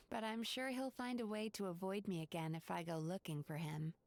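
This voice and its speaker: A woman voicing a calm, composed female spirit therapist who talks in a measured, reserved way, in game voice acting. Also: mid-range voice, dry recording, close to an everyday voice.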